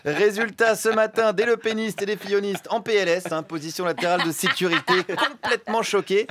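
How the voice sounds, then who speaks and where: A man's voice: high voice, fairly even intonation, a bit bright, slight background noise. A man delivering a satirical radio chronicle in an ironic, mocking tone from a studio. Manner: ironic, mocking, quick asides. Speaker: radio comedy columnist.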